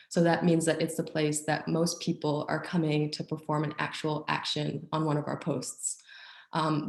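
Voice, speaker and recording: Low-voiced woman presenting with a cold. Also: darkish tone, roomy sound. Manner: upbeat, filler-heavy presenting. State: has a cold and cough. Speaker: nonprofit communications officer. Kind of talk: online conference presentation.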